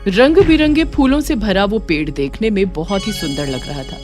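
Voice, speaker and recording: A woman reads a scripted story for children. Her mid-pitched voice moves in an animated, expressive storytelling way. Faint music plays underneath. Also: moderate pace. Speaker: narrator.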